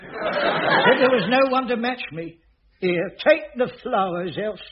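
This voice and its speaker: A bumbling, stingy caretaker. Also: male voice, high-pitched, animated, slow. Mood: sheepish, wooing. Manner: sheepish, fumbling, dim-witted. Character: bumbling, stingy middle-aged male caretaker. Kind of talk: 1980s radio sitcom acting. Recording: dull, hissy old radio broadcast.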